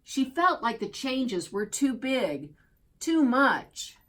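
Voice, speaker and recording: A woman reading a children's picture book aloud as a storyteller, expressively and deliberately, drawing out key words. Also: high voice, very animated, fairly bright tone. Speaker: storybook reader.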